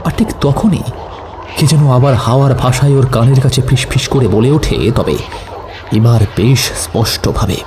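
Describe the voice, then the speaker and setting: A man's voice: medium pitch, normal speed, noisy background. A man narrating a scripted horror story with suspenseful, dramatic phrasing. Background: music.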